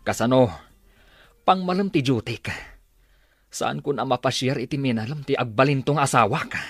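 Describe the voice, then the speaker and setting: A man's voice: medium pitch, moderate pace, fairly bright tone, slightly rough, no room sound, studio quality, soft background music. A man with an Ilocano accent playing a cocky, lovestruck suitor in a radio comedy drama. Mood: smug, wistful.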